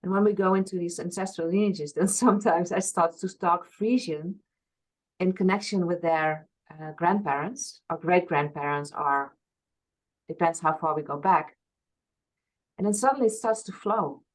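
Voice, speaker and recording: A woman's voice: lowish voice, moderate pace, rough recording. A woman from Friesland with a Dutch accent, a past life regression therapist talking earnestly and openly as an interview guest. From Friesland, Netherlands. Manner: earnest, open, laughs at herself. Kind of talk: reflective conversational interview.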